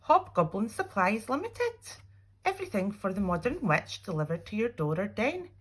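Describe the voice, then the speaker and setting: A woman reading a children's storybook aloud expressively, with dramatic pauses and little asides to young listeners. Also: mid-range voice, sing-song, slightly rough. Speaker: teacher reading a picture book aloud.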